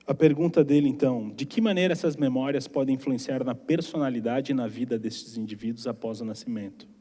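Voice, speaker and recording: A man moderating a lecture Q&A, reading out audience questions in a dark, mellow voice. Long theater reverb hangs behind him. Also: medium pitch, a little fast. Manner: reads questions aloud, brief affirmations. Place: theater auditorium.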